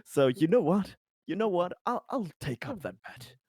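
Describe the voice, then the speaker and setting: A man improvising a glib, self-assured political schemer in a roleplaying game, halting and repeating himself as he placates people. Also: sits high, very animated, a little mellow, breathy edge, no echo, clean studio sound, pitched above the performer's own voice, heavily acted. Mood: blustering, amused.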